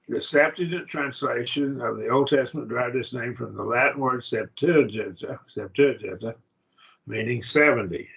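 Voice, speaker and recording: Man, Bible class teacher, halting lecture, gravelly voice, dull lo-fi sound. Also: mid-range voice, bright, forceful tone. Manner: plain, halting teaching with restarts and asides.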